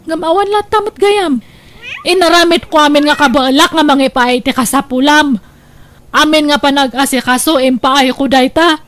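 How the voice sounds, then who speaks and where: High-voiced, reproachful betrayed wife. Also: female voice, steady tone, moderate pace, fairly bright tone, slight background noise. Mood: hurt, angry. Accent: Filipino, Ilocano. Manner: emotional, reproachful. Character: hurt, resolute wife leaving her unfaithful husband. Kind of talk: radio drama acting.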